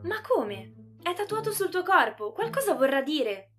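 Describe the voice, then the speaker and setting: A woman's voice: very high-pitched, very animated, a little slow, faint music behind, exaggerated voice. A woman playing an earnest, hopeful, studious young girl in a children's cartoon, speaking emphatically. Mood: curious, hopeful.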